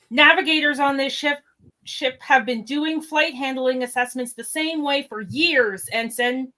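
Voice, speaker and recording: A woman's voice: sits high, slow, bright, forceful tone, full character voice, low-quality recording. A woman playing an agitated, by-the-book male first officer in an amateur radio drama, scolding and exasperated. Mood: irritated. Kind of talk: amateur radio theatre reenactment.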